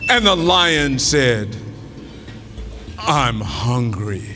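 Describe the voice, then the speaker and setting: A man's voice: mid-range voice, sing-song, extremely slow, background noise. A man telling a folktale aloud to an audience in a dramatic way, calling out to his listeners. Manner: dramatic, call-and-response with the audience. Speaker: storyteller.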